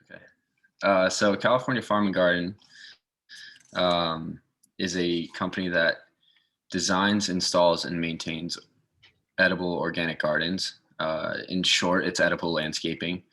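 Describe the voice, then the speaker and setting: A man's voice: low-pitched, flat delivery, measured pace, sharp tone. A man from the northeastern United States speaking as a guest in an informal interview, explaining things. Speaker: guest speaker, garden maintenance assistant.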